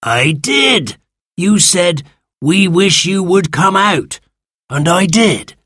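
A hoarse, ancient sand fairy from a children's storybook as a narrator acts him out. He is grumpy and drags his words out very slowly. The voice is husky and closely, drily recorded. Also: medium pitch, very animated, fairly bright tone, no echo, studio quality, voice pushed up, exaggerated voice. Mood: matter-of-fact, gruff. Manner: slow, drawn-out, irritable delivery.

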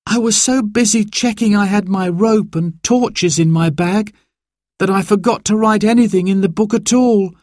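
Anxious, eager young boy in a storybook, played by a male narrator, raised pitch, close dry sound. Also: normal speed, a little mellow, dry recording, lightly acted. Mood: miserable. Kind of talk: children's story dialogue.

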